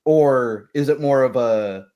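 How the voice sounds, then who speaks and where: A man's voice: medium pitch, takes it slowly, mellow. Rambling man running a tabletop game. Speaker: game master. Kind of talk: casual chat setting up a tabletop roleplay session.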